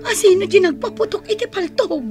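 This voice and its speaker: A Filipino woman acting in a radio drama as an excitable, complaining young village woman. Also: very high voice, fairly quick, music in the background. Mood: startled, scornful.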